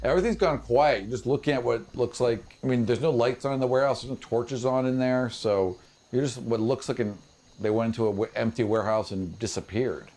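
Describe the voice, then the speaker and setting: A man's voice: mid-range voice, a little fast, a little mellow. A man acting as game master, improvising casual, colloquial narration of a fantasy tabletop game. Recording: clear background noise behind group table.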